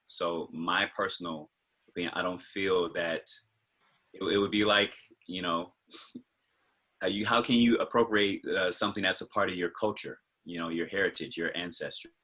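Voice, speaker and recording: Low, husky American man on a video call. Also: pushed and bright. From United States. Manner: thoughtful, hesitant with fillers and restarts. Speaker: capoeira teacher, panelist. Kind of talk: casual panel discussion, personal opinion.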